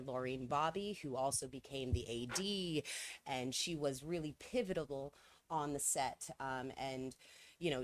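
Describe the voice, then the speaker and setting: A woman with a notably low voice talks fluently at a festival press conference. She is at ease, explaining the film's intentions and how it was made in clear, well-formed sentences.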